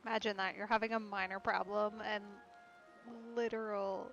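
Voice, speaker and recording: A woman's voice: medium pitch, flat delivery, moderate pace, fairly bright tone. A woman giving casual, dryly sarcastic commentary as she streams a video game. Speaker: live streamer reacting to a game. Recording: slightly muffled, game music behind.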